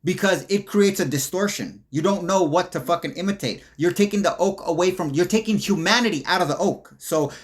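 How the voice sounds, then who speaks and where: A man giving a rambling commentary over video clips, with a somewhat high voice. He is profane and aggressive and keeps jumping between thoughts. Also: fairly quick, hardly any pauses. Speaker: commentary host.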